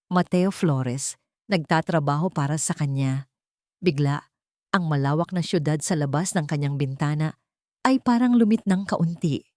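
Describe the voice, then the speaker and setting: A woman narrating a romance drama story in a calm, measured way. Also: low voice, dry recording, studio quality. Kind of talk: scripted narration.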